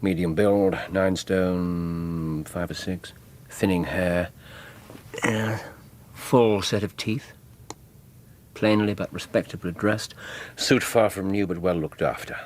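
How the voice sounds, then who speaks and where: An actor playing a keen, courteous Victorian gentleman detective with an English accent, precise, theatrical and wry, in a radio drama. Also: sits low, steady tone, takes it slowly, pauses often. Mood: brisk, analytical.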